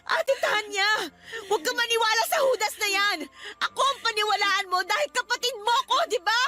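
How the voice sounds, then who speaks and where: A woman's voice: extremely high pitch, moderate pace, sharp tone. A woman playing a defiant, pleading younger sister in a radio drama, protesting indignantly. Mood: angry, pleading. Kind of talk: Tagalog radio drama acting.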